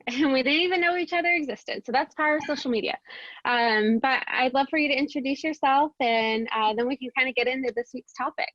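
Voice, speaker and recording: A chatty woman co-host talks in a somewhat high voice, pausing on fillers and laughing easily. Her audio is dull and dry, like a lo-fi video call. She is at home in San Francisco. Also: fairly bright tone, no room sound. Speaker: podcast co-host. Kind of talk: casual video-call chat.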